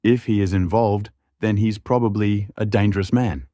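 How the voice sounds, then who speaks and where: Man playing a low, wary, crooked schemer. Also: fairly even intonation, moderate pace, warm tone, dry recording, studio quality, close to an everyday voice. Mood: grave, guarded. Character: tall crooked man, wary, shrewd, grave confidant.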